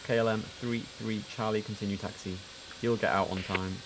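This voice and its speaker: A man with a fairly low voice streams as an air traffic controller. He switches between formal clipped calls and casual talk to himself, with noticeable noise behind him. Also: fairly even intonation, brisk. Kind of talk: livestream game commentary with clipped radio instructions.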